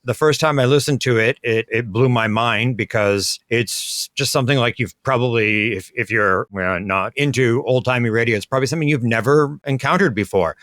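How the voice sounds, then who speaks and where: A man's voice: medium pitch, brisk, hardly any pauses, a bit bright, no room sound, studio quality. A man co-hosting a podcast, chatting in a rambling, enthusiastic way. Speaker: podcast co-host. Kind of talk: casual chat.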